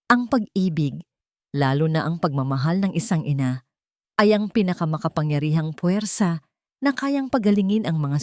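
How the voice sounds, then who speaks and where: Low-voiced woman narrating, close and dry. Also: a little mellow, dry recording. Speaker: storyteller. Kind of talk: scripted dramatic story narration.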